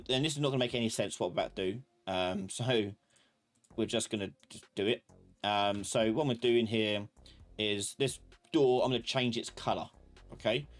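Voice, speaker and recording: A man giving a live software tutorial as an instructor, informally thinking aloud and talking to himself as he works. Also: medium pitch. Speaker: instructor of a live online class. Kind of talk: live software tutorial, explaining while working.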